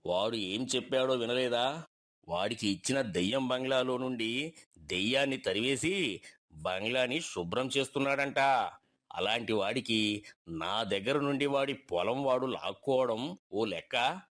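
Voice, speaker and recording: A man voicing a domineering older village uncle with a gruff, condescending manner in a story. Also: mid-range voice, expressive, measured pace, fairly bright tone. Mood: uneasy.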